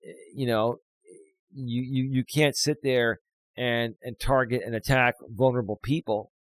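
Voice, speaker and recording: A man's voice: medium pitch, fairly even intonation, unhurried, no echo, low-quality recording. A man co-hosting a podcast, talking passionately and often restarting his words. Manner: describes himself as loud and projecting. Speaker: podcast co-host. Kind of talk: casual podcast talk.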